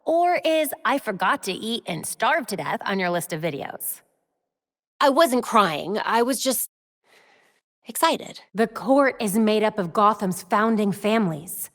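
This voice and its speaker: A young woman with an American accent voicing a determined, quick-witted vigilante detective in a video game, focused and conversational. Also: medium pitch, animated, a bit bright, no room sound, studio quality. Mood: wry, confident, then earnest.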